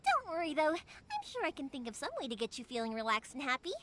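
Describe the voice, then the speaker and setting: An actress playing a cheerful, caring young woman in a video game, chatty, expressive and easygoing. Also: high-pitched, fast talker, slight background noise, heavily acted. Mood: upbeat, encouraging. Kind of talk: video game character acting.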